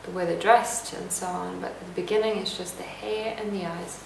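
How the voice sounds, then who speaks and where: A young woman from Lithuania vlogging a casual to-camera Q&A at home, calm and reflective as she reads out each question and answers it. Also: lowish voice, fairly quick, vocal fry, noisy background. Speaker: lifestyle vlogger. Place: indoor room at home. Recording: echoey room, voice a bit distant.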